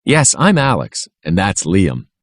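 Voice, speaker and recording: A man's voice: low voice, huge pitch swings, a little slow, dry recording, clean studio sound, full character voice. A man voicing a polite, eager boy in a children's storybook, earnest and upbeat. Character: polite, eager boy planning a soapbox race.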